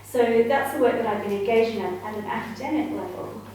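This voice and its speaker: A woman with an Australian accent speaking on a panel as an academic researcher, articulate and earnest with soft fillers. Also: a little high, brisk. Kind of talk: panel discussion talk. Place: event room with audience. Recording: echoey room, mic far off, faint noise.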